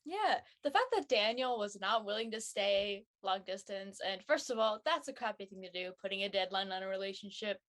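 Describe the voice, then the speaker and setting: A woman chatting casually as a panel guest, informal, emphatic and quick to give her opinion. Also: medium pitch, lively intonation, a bit bright. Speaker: guest on a web show panel. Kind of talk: casual chat.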